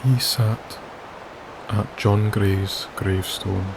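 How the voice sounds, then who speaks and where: A man softly narrating a bedtime sleep story in a slow, soothing, gentle manner with long pauses. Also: fairly low pitch, steady tone, creaky, noisy background. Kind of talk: soft-spoken ASMR sleep story narration. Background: wilderness ambience.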